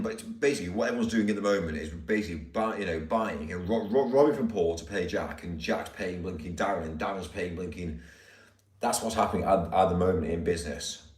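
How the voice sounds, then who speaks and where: Deep-voiced man, ranting from afar. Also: darkish tone. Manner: rambling, indignant, repeats himself. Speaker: live streamer. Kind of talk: ranting casual chat. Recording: roomy, distant mic.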